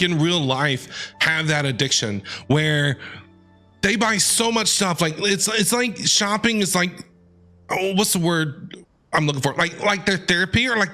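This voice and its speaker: A man's voice: sits high, expressive, measured pace, sharp tone. A man streaming a game with casual, chatty, informal reaction commentary. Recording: game music behind the voice.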